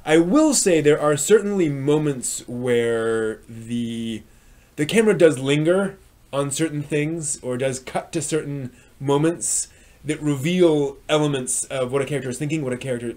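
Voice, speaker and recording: A man hosting a live stream review, talking unscripted in a halting way, often repeating and rephrasing himself. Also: mid-range voice, a little mellow. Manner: frequent throat clearing.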